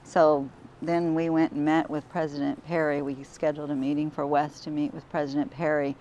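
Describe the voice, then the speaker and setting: A woman speaks in a plain, matter-of-fact way. Her voice is low. The recording sounds slightly dull and carries faint hiss. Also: little pitch movement, moderate pace, dark tone. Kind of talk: interview.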